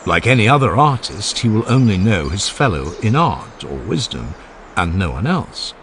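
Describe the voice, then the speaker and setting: Deep-voiced man, expressive formal audiobook narrator. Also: moderate pace, slight background noise. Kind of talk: dramatized scripted reading of a classical philosophical dialogue.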